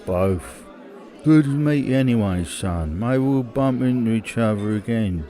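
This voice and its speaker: A man's voice: medium pitch, a little slow, dark tone, some chatter behind, natural voice. A man acting a middle-aged, seasoned criminal with a British accent, talking in a matter-of-fact, colloquial way in a drama. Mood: world-weary, casual. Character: seasoned, hard-drinking criminal old hand, casually cold. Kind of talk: naturalistic audio drama dialogue.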